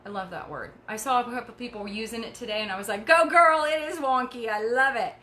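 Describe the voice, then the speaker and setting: A tired middle-aged woman talks viewers through a craft project in an easy, encouraging way. Her voice is bright and a little high, with a faint hiss of noise under it. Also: brisk. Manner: chatty and encouraging, talks while working. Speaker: craft tutorial host. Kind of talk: casual craft demonstration.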